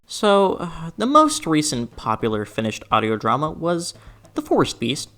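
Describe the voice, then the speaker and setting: A person delivering a scripted, opinionated video essay in an expressive, emphatic way with conversational asides. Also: mid-range voice, normal speed. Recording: music behind the voice. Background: background music.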